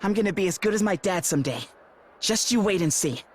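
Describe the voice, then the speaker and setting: A man's voice: high-pitched, animated, quick pace. An actor playing an earnest teenage boy blacksmith and protective brother in a video game, sincere and a little hesitant. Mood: relieved, determined.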